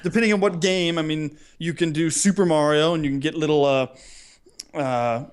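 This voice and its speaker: A man's voice: on the high side, steady tone, normal speed, clean tone. A man co-hosting a podcast in casual comedic banter, playful and mock-grandiose, stretching words for effect. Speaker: podcast co-host.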